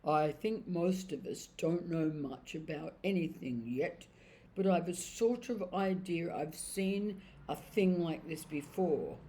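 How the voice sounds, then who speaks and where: A woman voicing a gentle old cab horse who talks slowly and thoughtfully, groping for memories. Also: on the low side, low-quality recording. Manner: slow, thoughtful, halting.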